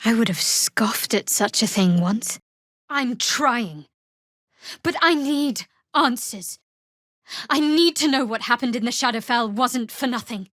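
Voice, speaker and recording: A woman voicing a guarded, sardonic young woman cleric in a fantasy video game, with a measured, dry delivery. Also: on the high side, expressive, fairly bright tone, no room sound. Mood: earnest.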